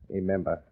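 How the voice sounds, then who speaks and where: A man playing a menacing wartime German spy ringleader in a 1940s radio drama, formal, clipped and imperious, cold and mocking. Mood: menacing, gloating. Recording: muffled, narrow-band old radio recording.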